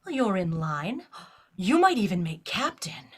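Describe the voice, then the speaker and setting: An actress playing an authoritative, guarded female starship captain in an audio drama, giving crisp, imperious orders. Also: mid-range voice, very animated, measured pace. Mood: brisk, guarded.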